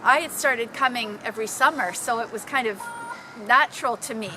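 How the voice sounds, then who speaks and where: A woman telling personal stories informally to a group, in an animated, dramatic manner. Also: on the high side, brisk, background noise. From Canada. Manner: animated, dramatic, acting out exclamations. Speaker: storyteller.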